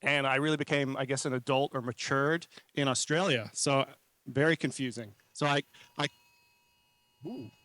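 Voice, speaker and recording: Man in his forties, conference speaker, conversational and earnest, self-correcting, smooth clear tone, dry close sound. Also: medium pitch, steady tone, moderate pace, fairly bright tone, no echo. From New Zealand-born, raised in Hawaii. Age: 40s. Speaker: conference speaker, marketing consultant. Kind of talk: informal conference talk.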